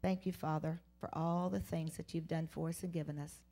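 A woman's voice: deep voice, steady tone, brisk, warm tone. A woman giving a children's sermon in a church, talking simply and asking the kids questions. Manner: simple, coaxing, call-and-response questions. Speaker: children's message leader. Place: church sanctuary in front of seated children.

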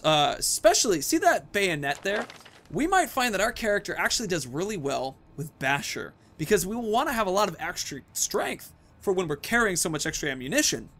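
A man's voice: on the high side, a bit bright. A man in his thirties giving casual, chatty let's-play commentary, thinking aloud as he plays. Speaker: gaming video host. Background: video game sounds.

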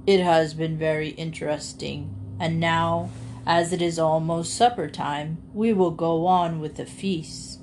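A woman voicing an ancient male alligator, pompous, drawling and calmly menacing in a grandly polite way. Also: low voice, a little slow, slight background noise. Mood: smugly polite.

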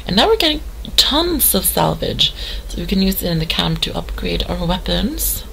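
Give me a hoarse, very gravelly woman chatting casually while gaming. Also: fairly low pitch, very animated, moderate pace, a bit bright, a little hiss or hum, low-quality recording. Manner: chatty, rambling, full of fillers. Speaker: gamer. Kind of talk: let's play commentary.

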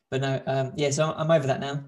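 A young man chatting casually on a video call, talkative and quick to laugh, often restarting his sentences. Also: mid-range voice, a little mellow. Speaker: host doing a video challenge. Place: bright home room. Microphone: video call, desk mic.

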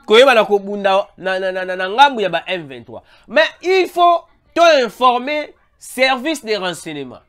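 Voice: mid-range voice, normal speed, few pauses. Congolese commentator with a head cold. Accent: Congolese French. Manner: animated, repeatedly calls out to viewers, mixes in Lingala. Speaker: online news commentator. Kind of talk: emphatic news commentary to viewers. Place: voice-over over a still image.

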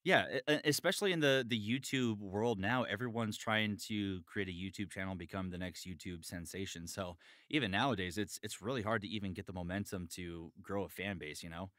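A man's voice: lowish voice, a little fast, few pauses, fairly bright tone, no room sound, clean studio sound. A man hosting a podcast in a casual, chatty style. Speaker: podcast host.

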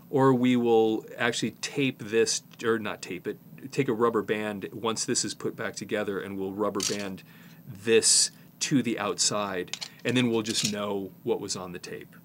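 A man in his fifties with a slight head cold, presenting a casual hands-on repair tutorial in an informal, halting way as he works. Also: fairly low pitch, slight background noise. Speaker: presenter, tape repair technician.

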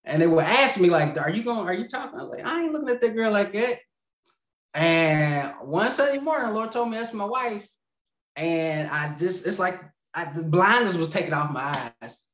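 Male guest, 30s, rambling storyteller, lots of fillers, slightly high voice, echoey video-call audio. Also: lively intonation, moderate pace, a bit bright. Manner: rambling, laughs mid-sentence, many fillers and restarts. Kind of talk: casual storytelling. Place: home room.

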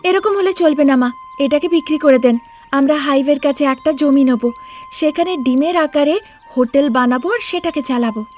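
High-pitched eager young daughter-in-law played by a woman. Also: moderate pace, darkish tone, faint music behind, close to an everyday voice. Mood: determined. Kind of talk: Bengali cartoon voice acting.

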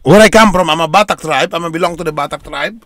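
High-voiced Indonesian host, rambling. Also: male voice, fast talker, a bit bright, no room sound. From North Sumatra, Indonesia. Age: 40s. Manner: rambling, repeats words. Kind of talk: casual chat over video call.

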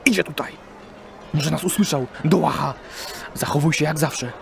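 An actor playing a bald, mocking, pipe-smoking street idler in an audio drama, with sneering colloquial talk. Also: male voice, a little high, normal speed, vocal fry, a little hiss or hum. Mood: wary.

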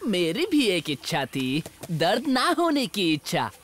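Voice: mid-range voice, very animated, fairly bright tone, slight background noise. An actor voicing a sly, wheedling male jackal who coaxes in a cartoon dub. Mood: coaxing. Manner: coaxing, sly, drawn-out delivery.

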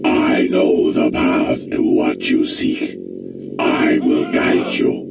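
Rumbling, extremely deep earth dragon. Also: male voice, sing-song, slow, vocal fry, recorded from a distance, full character voice. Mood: solemn. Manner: solemn, portentous. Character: mighty ancient earth dragon, solemn and commanding. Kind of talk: video game cutscene acting. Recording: loud background music.

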